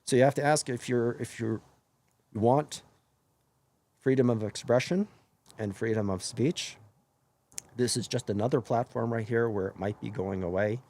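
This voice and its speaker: A hoarse man hosting his own live stream, talking earnestly in a rambling way and often correcting himself mid-sentence. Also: mid-range voice, moderate pace, pauses often, a little mellow. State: hoarse after illness. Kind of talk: casual live-stream monologue.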